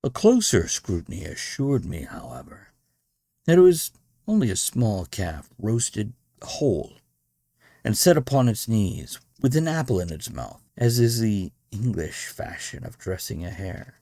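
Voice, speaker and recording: Male storybook narrator; lowish pitch, highly animated, theatrical delivery, close dry sound. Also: unhurried, no echo. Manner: expressive, theatrical. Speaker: podcast host and story reader.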